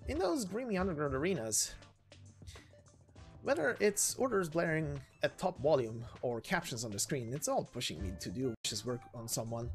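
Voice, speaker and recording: A man voicing a brash, battle-hardened lance knight, proud and bitter, with a blunt, halting delivery in a game story. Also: on the high side, very animated, soft background music. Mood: bitter, intense.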